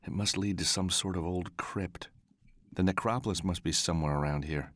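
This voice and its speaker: A man's voice: low voice, normal speed, a bit bright. An actor playing a wry, observant male adventurer in a video game, making dry, matter-of-fact remarks. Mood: musing. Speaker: player character in an adventure game.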